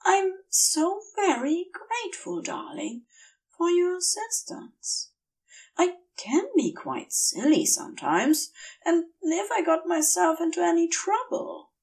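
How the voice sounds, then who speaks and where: A woman voicing a gentle, fussily polite male angel who rambles affectionately in a dazed, feverish way in an audio story. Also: very high voice, sing-song, slow pace, recorded from a distance, pitched above the performer's own voice, exaggerated voice. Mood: grateful, tender.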